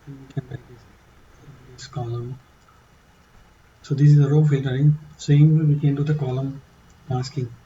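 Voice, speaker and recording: A man with an accent walking through a software demo in a halting, casual way, with many fillers and restarts. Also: medium pitch, flat delivery, muffled recording, a little hiss or hum. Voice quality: non-native English accent. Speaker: tutorial presenter. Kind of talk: casual screen-share walkthrough.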